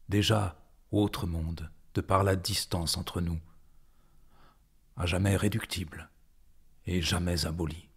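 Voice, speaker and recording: Guy doing a dramatic poem reading, really slow with lots of pauses, deep husky voice. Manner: deliberate phrasing with frequent pauses. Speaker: poetry performer. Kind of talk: dramatic poetry recitation.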